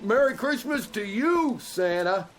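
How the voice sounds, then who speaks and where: An old homeless fellow in a radio drama. His slightly high voice ambles slowly with lots of rise and fall, plain-spoken and good-natured. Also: expressive, fairly bright tone, soft background music. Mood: grateful, cheery. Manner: colloquial, folksy, easygoing drawn-out talk.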